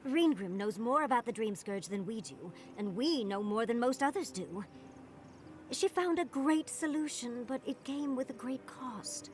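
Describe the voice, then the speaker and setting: A woman's voice: a little high, expressive, measured pace, a little hiss or hum. A woman acting a pragmatic female companion in a game cutscene, reasoning in a matter-of-fact way. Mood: defensive.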